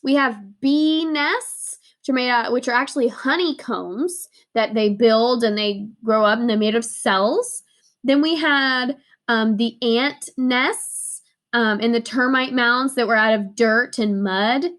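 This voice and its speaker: A woman with a high-pitched voice leads a read-aloud lesson for young children. She takes her time, explaining in simple words, repeating key words for emphasis in a playful teacher's manner. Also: unhurried, fairly bright tone.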